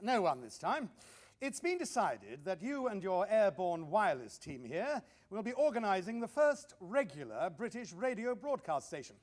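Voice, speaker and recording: A man's voice: high voice, big pitch swings, moderate pace. A man playing an enthusiastic, visionary 1920s wireless company man in a radio play, speaking emphatically and declaiming. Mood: earnest, excited. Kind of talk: radio drama acting.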